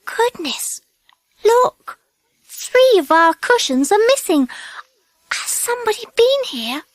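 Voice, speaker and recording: Very high-pitched excitable British schoolgirl. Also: slow, no echo, exaggerated voice, low-quality recording. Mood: alarmed. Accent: British English. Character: bright, excitable little British schoolgirl in a storybook.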